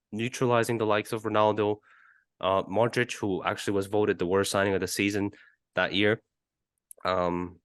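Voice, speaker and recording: A man with a fairly low voice talks casually as a podcast host. He searches for his words in a hesitant way, and the sound is dry with very little room on it. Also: fairly bright tone, dry recording. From Asia. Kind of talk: casual football chat.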